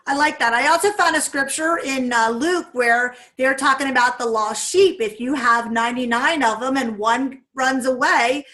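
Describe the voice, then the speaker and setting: Upbeat woman from Pennsylvania, high, bright voice, leads the group. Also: normal speed, few pauses. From Pennsylvania, United States. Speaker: group leader recording the video. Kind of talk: casual discussion.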